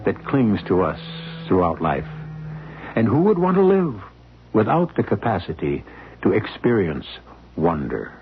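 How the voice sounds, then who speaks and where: A male narrator giving reflective scripted narration with a slow, musing delivery. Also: fairly low pitch, wildly swooping pitch. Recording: dull old radio recording.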